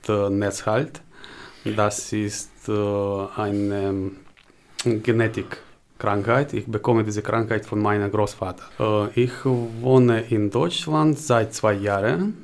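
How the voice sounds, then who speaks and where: Slow, halting man with a Ukrainian accent. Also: on the low side, slight background noise. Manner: hesitant, searching for words. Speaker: interview guest, job seeker. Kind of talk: interview answers in halting second-language German.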